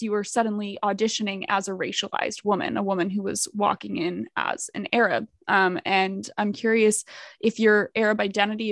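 A woman hosting an interview, asking careful, measured questions with soft filler pauses. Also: medium pitch, no echo, clean studio sound. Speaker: podcast host.

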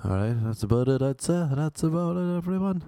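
A young man with a Cork Irish accent, telling stories in a deadpan, self-mocking, rambling way. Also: fairly high pitch, fairly quick, dry recording, studio quality. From Ireland. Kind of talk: casual storytelling monologue.

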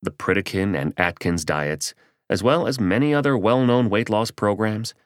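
Deep-voiced man narrating a nonfiction audiobook. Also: normal speed, no echo, clean studio sound.

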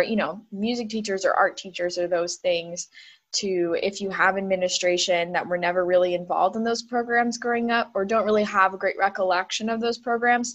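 A woman's voice: mid-range voice, highs cut off. A woman music teacher interviewing a colleague, talking in long, encouraging, run-on explanations. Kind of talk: casual conversational interview.

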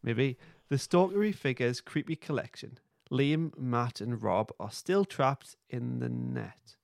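A man's voice: mid-range voice, a little slow, creaky, no echo. Dry, close-miked man reading a script. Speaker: podcast host. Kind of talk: reading script narration aloud.

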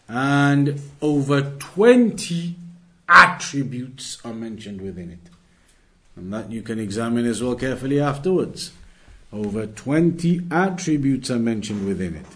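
A male religious teacher with a fairly high voice leads a lesson. He reads from a text and explains it in an instructive way, restating key phrases so that they stand out. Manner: didactic, repeats key phrases for emphasis.

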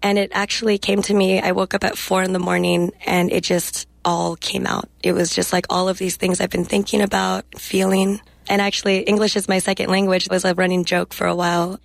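A young woman guest speaking reflectively in a radio interview and reading her poetry in a measured way. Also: mid-range voice, level tone, creaky, no room sound, poor audio. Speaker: guest poet.

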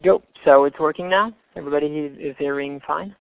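A man's voice: fairly high pitch, fairly even intonation, creaky, smooth, dry recording. Man on a tinny phone line. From Canada. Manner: halting, hesitant delivery. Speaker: presenter. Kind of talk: webinar presentation.

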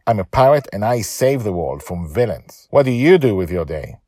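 A man's voice: lowish voice, sing-song, normal speed, a little mellow, no room sound, studio quality, voice pushed up. A man voicing a defiant, loyal eight-year-old pirate girl in a storybook reading, bold, proud and emphatic.

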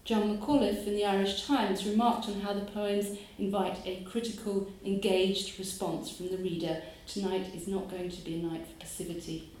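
A woman host giving a measured, formal prepared introduction of a poet at a live event. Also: medium pitch, little pitch movement, darkish tone, slight background noise. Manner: measured and formal, reading from notes. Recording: very echoey room.

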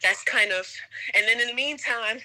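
A woman's voice: on the high side, moderate pace, sharp tone, rough recording. A young American woman chatting casually as a guest in an interview. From United States, suburbs of Atlanta, Georgia. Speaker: podcast guest, English teacher.

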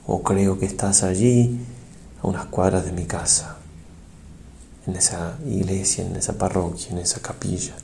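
A man, a priest, leads a guided prayer meditation in a solemn, reverent and measured way, pausing between short phrases. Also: low voice, fairly even intonation, creaky, mellow, slight background noise.

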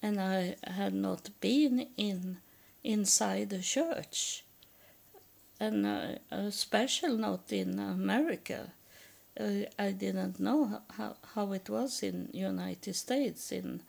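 A woman from Sweden with a Swedish accent, telling her own story in halting English with many pauses and restarts. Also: medium pitch, gravelly, darkish tone. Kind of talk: personal testimony.

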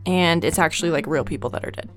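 A woman in her twenties co-hosting a podcast in casual chat, self-mocking and quick to laugh. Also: low voice, soft background music. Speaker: podcast co-host.

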